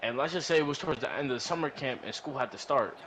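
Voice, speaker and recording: A man rambles through a casual, profane story, slangy and animated, often repeating himself. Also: medium pitch, quick pace. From New York. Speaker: podcast storyteller. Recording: noisy room recording.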